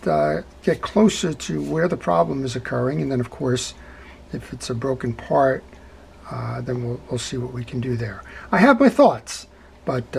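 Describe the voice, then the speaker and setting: A man's voice: medium pitch, creaky, darkish tone, a little hiss or hum. A middle-aged man hosting a hands-on fishing reel repair tutorial at his workbench, talking it through step by step in a folksy way. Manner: talkative, folksy, explains step by step with "right?" checks. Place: indoor workbench.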